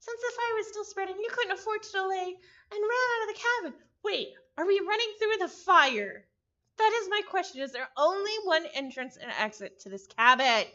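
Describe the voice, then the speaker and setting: Girly super high voice, woman reading a story out loud on stream, stumbles and repeats, kinda muffled audio. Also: expressive, moderate pace. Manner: stumbles over words and repeats them. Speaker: visual novel reader, streamer. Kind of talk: live read-aloud of a story with casual asides.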